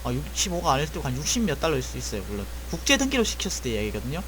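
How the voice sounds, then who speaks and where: A man's voice: a little high, wildly swooping pitch, very fast, fairly bright tone, slight background noise, rough recording. A young man casually explaining a repair job, thinking aloud and correcting himself as he goes. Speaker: airsoft gun technician. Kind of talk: casual spoken tutorial.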